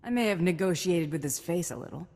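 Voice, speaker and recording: An actress playing a wry, cocky bounty hunter in a video game, with a deadpan delivery. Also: female voice, fairly low pitch, quick pace. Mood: dry, wry. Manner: sardonic, deadpan.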